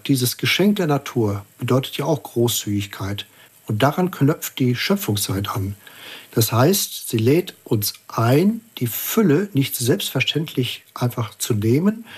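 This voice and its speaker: Husky-voiced man, measured and earnest. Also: mid-range voice. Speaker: guest, church environmental activist. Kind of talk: radio interview answers.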